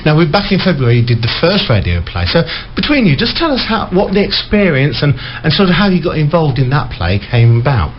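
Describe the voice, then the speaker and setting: A man's voice: fairly high pitch, sing-song, a little fast, few pauses. A man hosting a local radio interview, putting questions to his guests. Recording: dull, clearly noisy recording.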